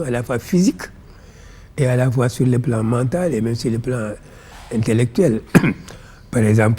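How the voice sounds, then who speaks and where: A man with a Senegalese accent and Pulaar as his first language, explaining ideas in measured, didactic phrases as an interview guest. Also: medium pitch, dark tone, slightly rough. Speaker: interview guest, philosophy author.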